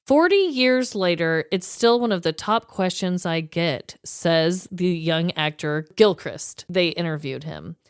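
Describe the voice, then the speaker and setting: A woman co-hosting a podcast, telling stories from her notes in an informal, animated, emphatic way. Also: on the low side, unhurried, hardly any pauses, clear, resonant tone, dry recording, clean studio sound. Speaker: podcast co-host. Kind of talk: chatty storytelling, reading quotes from notes.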